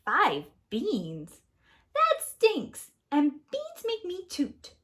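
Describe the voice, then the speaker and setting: A woman's voice: extremely high pitch, huge pitch swings, drawn-out words, a bit bright, voice pushed up, exaggerated voice. A woman voicing a whiny, reluctant fairy-tale boy who complains and talks back in a children's storybook. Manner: complaining, protesting, exclaiming. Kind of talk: children's storybook read-aloud.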